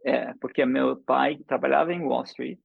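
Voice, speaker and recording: A man's voice: mid-range voice, fairly even intonation, moderate pace, gravelly, slightly rough, dry recording. A man in his seventies, a polyglot, chatting casually over a video call and telling stories with playful wordplay. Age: 70s. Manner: storytelling, playful with wordplay jokes. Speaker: polyglot language exchange partner. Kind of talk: casual multilingual conversation. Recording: dull lo-fi sound.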